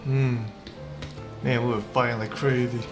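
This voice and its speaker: Slow-paced man casually streaming a game. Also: mid-range voice, level tone. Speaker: gaming streamer. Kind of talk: casual off-the-cuff game commentary. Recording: game music behind the voice.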